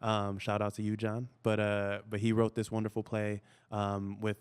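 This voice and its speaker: A man's voice: lowish voice, monotone, moderate pace, clear, resonant tone. A man whose first language is Spanish, an actor chatting easily as a podcast guest with many "you know" fillers. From United States. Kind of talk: casual interview chat.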